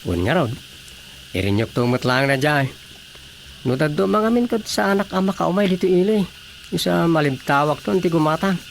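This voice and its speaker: Gravelly, musing man in radio drama. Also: fairly high pitch, animated, normal speed, slight background noise. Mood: conversational.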